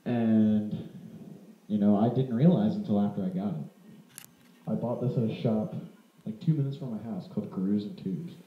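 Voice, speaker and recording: A roomy, slightly muffled home recording of a man with a fairly low voice. He walks through a piece of gear in an informal, rambling way, correcting himself as he talks like a hobbyist vlogger. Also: moderate pace. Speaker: vlogger presenting vintage audio gear. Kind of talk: casual unscripted product demonstration. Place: indoor room.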